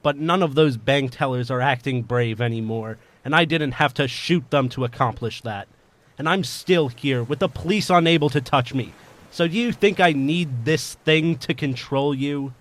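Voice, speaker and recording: A man's voice: mid-range voice, moderate pace, a bit bright, natural voice. A man playing a ruthless armed bank robber in an audio drama, speaking in a domineering, commanding, menacing way. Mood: cold menace.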